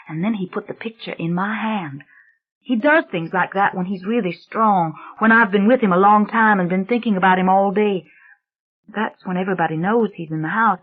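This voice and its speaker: A woman's voice: medium pitch, close to an everyday voice. A woman voicing a frightened young girl who pleads in an anguished, tearful rush. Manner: anguished rushing pleading with sobs. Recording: dull lo-fi recording.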